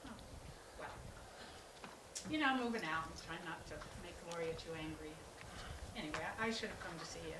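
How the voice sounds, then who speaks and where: A woman's voice: on the low side, expressive, fairly quick, long pauses, lightly acted. A woman in a staged play reading, playing a caring but exasperated adult sister with a conversational, coaxing manner. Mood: concerned. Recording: roomy, distant mic with clear noise.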